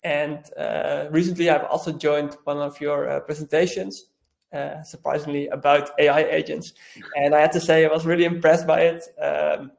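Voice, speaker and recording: A man introduces a webinar guest, halting and repeating himself. His voice sits a bit high, gravelly and flat in tone. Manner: halting, repetitive.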